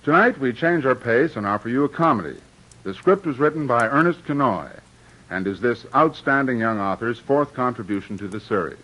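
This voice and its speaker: A bright, forceful male voice heard through a dull vintage radio broadcast. He introduces the evening's play in a courteous, formal way. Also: medium pitch, expressive, brisk. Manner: courteous, gracious. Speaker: director and host.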